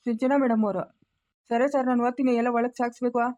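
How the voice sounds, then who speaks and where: A woman's voice: on the high side, flat delivery, fast talker, dark tone, lightly acted. A woman voicing a deferential male workman who politely explains a furniture delivery.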